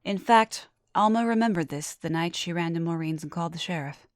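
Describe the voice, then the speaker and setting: A woman narrating a scripted horror story dramatically, often dropping to a hush at the ends of phrases. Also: on the low side, brisk, no echo, clean studio sound. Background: background music.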